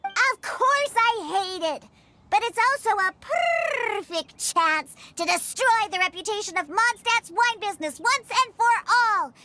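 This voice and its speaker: A woman voicing a small childlike girl in animated video game dialogue. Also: very high voice, unhurried, background noise. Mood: scheming, gleeful.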